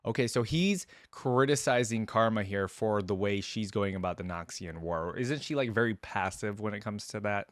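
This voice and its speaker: A man's voice: fairly low pitch, normal speed, few pauses, no echo. A man hosting a reaction video, chatting casually and thinking aloud as he talks to his viewers. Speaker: host of a game voice-line reaction video.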